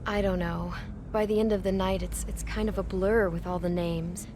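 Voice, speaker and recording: An actress playing an earnest, slightly embarrassed motel desk clerk in a video game, speaking in a hesitant, confiding way. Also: female voice, lowish voice, steady tone, normal speed, background noise, natural voice. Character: embarrassed, earnest married woman working a motel front desk. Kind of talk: naturalistic video game acting.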